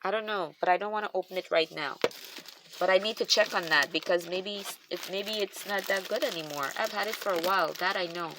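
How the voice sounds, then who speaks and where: A woman with a Guyanese accent and a somewhat low voice, rambling cheerfully through a show-and-tell of her craft stash. She thinks aloud, restarting and trailing off mid-sentence. The home recording carries clear background noise and the crinkle of handled packaging. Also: a little fast. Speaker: craft channel host.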